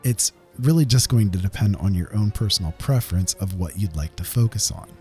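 A man's voice: on the low side, animated, brisk, darkish tone. A man hosting a gaming video, narrating a script in a casual, conversational way with emphatic touches. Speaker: video game content creator, host. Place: voice-over over game footage. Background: faint background music.

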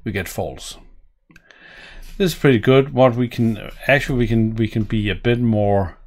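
A man's voice: medium pitch, moderate pace. A middle-aged man from Denmark with a Danish accent, thinking aloud as he walks through code in a home office. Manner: musing, thinking aloud while typing. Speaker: programming tutorial host. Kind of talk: live coding walkthrough. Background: keyboard typing and clicks.

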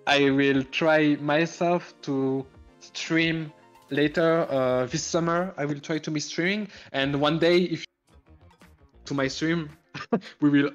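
A French-speaking man chatting in English with a French accent, hesitant and self-conscious, pausing often with fillers and laughing. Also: fairly high pitch, fairly even intonation, dull audio, faint music behind. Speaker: guest streamer. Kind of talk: casual stream chat.

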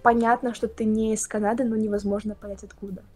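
A young woman from Russia chatting casually on a video call, talking with lively hand gestures. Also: mid-range voice, fast, dry recording. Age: young adult. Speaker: guest. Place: indoor room, plain wall.

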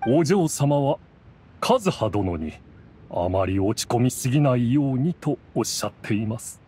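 A dignified raven servant played by a man in a video game. The voice is dark and mellow, formal and deferential like a butler, with a faint rumble behind it. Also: animated, a little slow, close to an everyday voice. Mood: courteous, composed. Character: dignified, courteous male raven familiar serving a lady.

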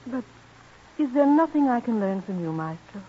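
High-voiced young American prima donna. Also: female voice, big pitch swings, moderate pace, husky. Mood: grateful, modest. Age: young adult. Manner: polite, earnest, formal. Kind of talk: old-time radio drama acting. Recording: muffled vintage radio broadcast.

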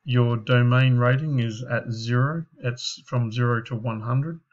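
A slightly muffled, lo-fi recording of a man talking through a website review. He speaks slowly, with little movement in pitch. His delivery is informal and halting, like a consultant explaining over a screen share. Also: steady tone. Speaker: digital marketing consultant.

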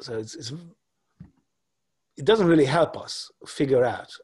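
A husky, breathy-voiced man in his forties gives a lecture over a video call from his home, picked up by a laptop webcam. He speaks at a middling pitch and pauses often, circling back with fillers and restarts as he walks through his slides. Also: lively intonation, a little mellow. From Hungary. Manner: halting, many fillers and restarts. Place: home room.

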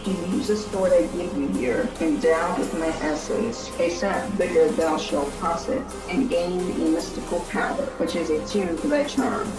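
A woman voicing the solemn, aggrieved ghost of a murdered king, speaking in slow, archaic, portentous phrases in a tabletop game. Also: fairly low pitch, expressive. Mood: grave, commanding. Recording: echoey, distant, music underneath. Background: music.

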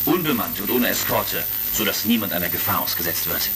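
A man's voice: low voice, lively intonation, a little fast, vocal fry, background noise. A man playing a resolute, shrewd heroic space commander in a retro sci-fi audio drama.

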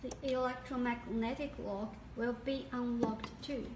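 A woman giving scripted instructional narration as a product demonstrator, in a deliberate step-by-step way. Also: on the high side, steady tone. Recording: echoey, distant mic, noisy background.

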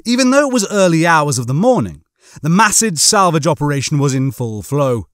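A man narrates a comic science fiction novel with a theatrical sense of suspense. His voice sits fairly high and swings widely in pitch. The recording is dry and close. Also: very animated, fairly quick, fairly bright tone, no echo. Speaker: audiobook narrator.

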